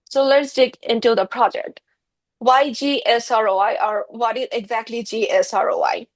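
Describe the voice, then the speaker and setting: A woman from Bangladesh presents her project to an online session. Her voice sits a little high, and she speaks earnestly with many small fillers, heard over a video-call mic. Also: fairly even intonation, normal speed. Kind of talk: conference presentation.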